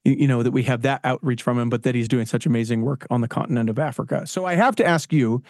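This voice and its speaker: A man's voice: mid-range voice, fast, darkish tone, no room sound, studio quality. A man hosting a podcast interview, talking enthusiastically and rambling with many restarts. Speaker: podcast host. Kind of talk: casual interview.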